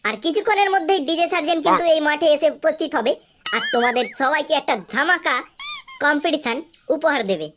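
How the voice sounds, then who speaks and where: A man plays a perky young cartoon channel presenter. The pitched-up voice is very high and showy, hyping like a vlogger. Clear noise and clicks sit on the track. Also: quick pace. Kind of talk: mock vlog intro in comedy cartoon.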